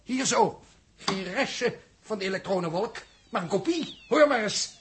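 An actor playing a suspicious, severe male crewman in a 1960s radio drama, measured and formal, with a Netherlands Dutch accent. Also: sits high, expressive, a bit bright, slight background noise. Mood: grave, accusing. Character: Huub, suspicious stern accusing crewman.

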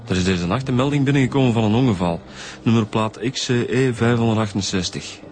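An actor playing an earnest police detective in a radio drama, talking matter-of-factly with a Flemish accent. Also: male voice, mid-range voice, fast, darkish tone, soft background music, lightly acted. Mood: focused. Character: earnest, matter-of-fact male police detective, Rudy's rank below chief. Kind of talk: naturalistic radio drama acting.

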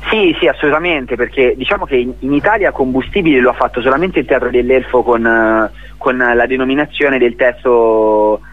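A man from Italy giving a spontaneous radio interview as a theatre director, speaking haltingly and repeating words as he searches for phrasing. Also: medium pitch, narrow, tinny audio.

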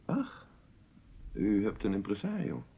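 A man's voice: fairly low pitch, wildly swooping pitch, a little slow, vocal fry, husky, exaggerated voice. A man playing a genial middle-aged husband and father in a naturalistic radio play, chatting politely and inquisitively. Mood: amused, curious. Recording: dull, lo-fi radio play recording.

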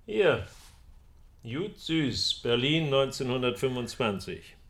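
A wry, bookish fellow in a radio drama set in 1930s Germany. His speech is very unhurried, with a creaky, gravelly voice. He muses with dry amusement. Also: mid-range voice, big pitch swings, a bit bright, full character voice. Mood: helpful, eager. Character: bookish, wry male friend in Nazi-era Germany.

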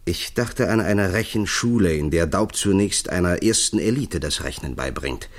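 A man's voice: low voice, animated, normal speed. A man acting an articulate, eager official who speaks persuasively and emphatically, in a 1960s German radio play.

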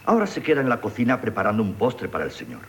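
An actor playing a timid, lovestruck, deferential manservant who speaks hesitantly, with a Castilian Spanish accent, in a radio play. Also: male voice, fairly low pitch, huge pitch swings, very fast. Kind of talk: 1970s Spanish radio theatre acting.

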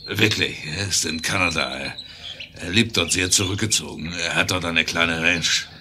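Man acting a deep-voiced dying young outlaw. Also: normal speed, noisy background, close to an everyday voice. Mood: weary confession. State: weak, dying, halting speech. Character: dying young outlaw, proud, melancholic, loyal to comrades. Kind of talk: German 1970s western radio play acting.

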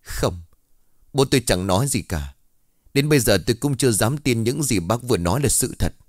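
A man's voice: medium pitch, expressive, a little fast, dry recording, studio quality, lightly acted. A man voicing a distraught young village woman who tearfully pleads her innocence and swears oaths. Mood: startled.

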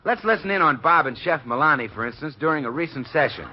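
A man's voice: a little high, lively intonation, fast. A man reading a scripted 1950s radio promotion as an announcer. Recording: muffled vintage radio broadcast.

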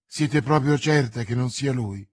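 A man's voice: mid-range voice, a little slow, no room sound, natural voice. A man voicing a scheming noblewoman, cool, guarded and watchful, as a character in an audiobook. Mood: controlled unease. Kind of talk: audiobook character voice, classic novel.

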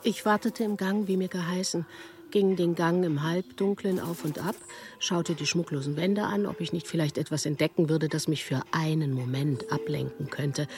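A woman's voice: deep voice, steady tone, moderate pace, a little mellow. A woman performing a precise, matter-of-fact first-person literary narration in a radio play. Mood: reflective, observant. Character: woman recounting her visit to a fortune teller, matter-of-fact.

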